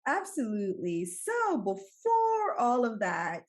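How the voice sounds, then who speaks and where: A middle-aged woman from Jamaica, a physician guest telling her story in a relaxed, conversational way with frequent fillers. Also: fairly high pitch, slow. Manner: warm storytelling with laughs and many fillers.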